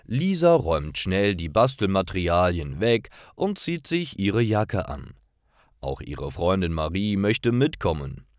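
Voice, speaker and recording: Slow, low-pitched male story narrator. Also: very animated, dry recording, studio quality. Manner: careful, clearly separated sentences. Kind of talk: scripted story narration for language learners.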